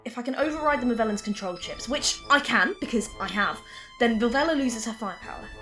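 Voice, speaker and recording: Lead for audio drama: a woman as witty, determined alien adventurer, rather high voice, flippant teasing, music behind. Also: fast talker, fairly bright tone, close to an everyday voice. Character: quick-witted female time-travelling alien adventurer, flippant and determined.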